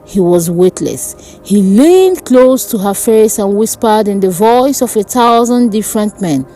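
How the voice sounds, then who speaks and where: A woman narrating a dark fantasy folk tale in a dramatic, deliberate way. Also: medium pitch, lively intonation. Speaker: storyteller. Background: background music.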